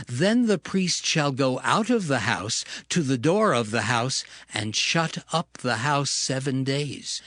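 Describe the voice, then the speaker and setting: A man narrating scripture in a measured, steady cadence. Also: medium pitch, no room sound. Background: music.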